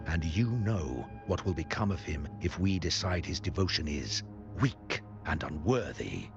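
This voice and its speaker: An actor playing a sinister, silkily menacing zealot in a video game, theatrical and drawn out, sliding into whispers. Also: male voice, rumbling low, expressive, unhurried, dull audio, music in the background, heavily acted. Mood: taunting menace. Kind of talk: video game story dialogue.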